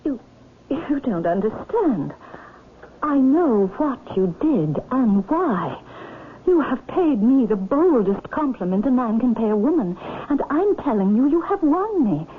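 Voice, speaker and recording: A scheming noblewoman in an old radio drama, with a fairly high voice. She is possessive, imperious and melodramatic. The recording is thin and tinny, with heavy hiss. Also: sing-song, unhurried. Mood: passionate. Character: possessive, scheming aristocratic widow, turning vindictive when spurned. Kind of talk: vintage radio drama acting.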